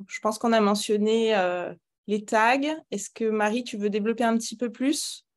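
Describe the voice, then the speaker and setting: A woman's voice: medium pitch, fairly even intonation, moderate pace. A woman moderating a workshop, hosting the question-and-answer session in a conversational way.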